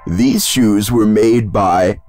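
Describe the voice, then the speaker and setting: Slow, kindly old shoemaker. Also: male voice, on the low side, darkish tone, faint music behind. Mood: kindly, proud. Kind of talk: animated fairy-tale acting.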